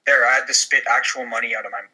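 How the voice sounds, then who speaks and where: A man's voice: mid-range voice, steady tone, racing, heavy background noise. A man reading parts in a playful comedy table read. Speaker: podcast cast member reading parts.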